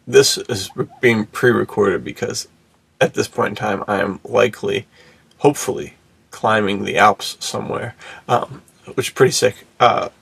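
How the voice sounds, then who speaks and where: A man's voice: fairly low pitch, steady tone, moderate pace, gravelly, fairly bright tone, breathy edge, no room sound. A man co-hosting a podcast in casual, slangy, enthusiastic bro talk. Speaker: podcast host. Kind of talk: casual chat.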